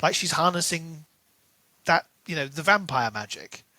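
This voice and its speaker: A man's voice: a little high, animated, normal speed, pushed and bright, no room sound. A man co-hosting a podcast, casually discussing a book and analysing it as he thinks aloud. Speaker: podcast co-host.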